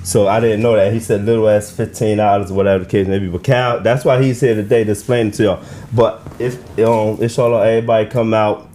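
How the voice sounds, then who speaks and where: A man speaking in casual podcast chat, plain-spoken and halting as he promotes a community insurance event. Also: lowish voice, steady tone, warm tone, slight background noise. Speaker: event organizer guest.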